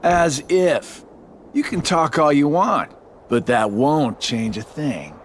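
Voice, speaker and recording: Smug, drawling, swooping villain. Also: male voice, fairly high pitch, animated, slow pace, a little hiss or hum. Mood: smug, mocking. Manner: mocking, drawling, casual. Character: smug, teasing villain in a shadowy organization. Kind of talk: video game cutscene acting.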